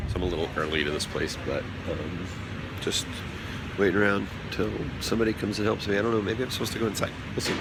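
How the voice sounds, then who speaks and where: A hoarse man rambling chattily through his day as a vlogger, quick to laugh. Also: sits low, fairly even intonation, very noisy. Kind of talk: casual daily vlog chat.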